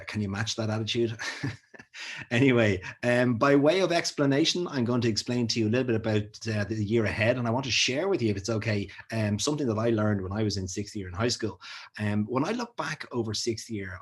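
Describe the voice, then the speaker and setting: A man speaks to students in a relaxed, encouraging way, full of fillers and friendly asides. His voice sits low and has a husky edge. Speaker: school wellbeing speaker. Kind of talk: casual talk to students on video.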